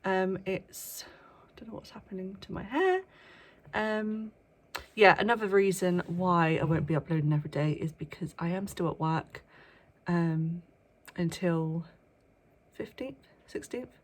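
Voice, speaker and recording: Young woman, vlogger, a touch low-pitched, nasal from a cold, chatty and hushed at times. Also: measured pace. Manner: chatty, with frequent ums, sometimes hushed. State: bunged up with a cold. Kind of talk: casual chat to camera. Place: workplace indoors, early morning.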